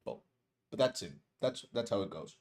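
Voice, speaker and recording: A man's voice: fairly low pitch, expressive, moderate pace. Hesitant man teaching live. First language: Spanish. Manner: hesitant, many fillers and restarts, friendly asides. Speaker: course instructor and streamer. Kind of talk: casual live-stream teaching.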